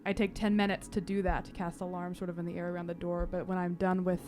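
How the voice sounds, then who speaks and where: A woman playing a tabletop roleplaying game, describing her character's actions in a halting, detailed way. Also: fairly low pitch, little pitch movement, noisy background. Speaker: player. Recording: prominent music behind the voice.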